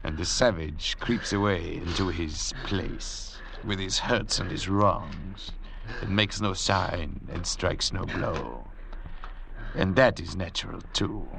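A man playing an arrogant, intellectual doctor cast away at sea in old radio drama style, lecturing theatrically in a gravelly voice. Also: sits low, slow, heavily acted. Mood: cold, measured. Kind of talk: 1940s radio drama acting. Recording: old radio recording with faint hiss.

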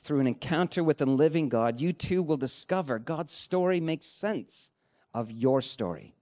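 A man delivering a sermon as a pastor, speaking in an earnest, conversational way with deliberate phrasing. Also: fairly high pitch, lively intonation. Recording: dull, lo-fi sound.

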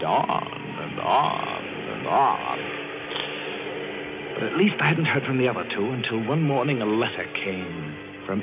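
An actor narrating as a cold, obsessed murderer in a 1940s radio drama, brooding and menacing. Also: male voice, deep voice, highs cut off. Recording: music behind old radio recording.

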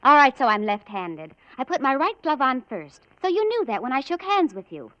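High, swooping, defiant young murderess. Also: female voice, big pitch swings, moderate pace, fairly bright tone, no room sound. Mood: bitter, defiant. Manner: biting, theatrical. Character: defiant, sarcastic young murderess, grown daughter. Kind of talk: 1950s radio drama acting. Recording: dull old radio recording.

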